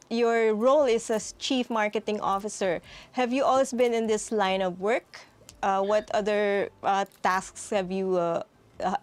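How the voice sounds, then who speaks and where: A woman hosting an interview, polite and conversational after a scripted opening. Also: medium pitch. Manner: polite, scripted intro then conversational questions. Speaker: host.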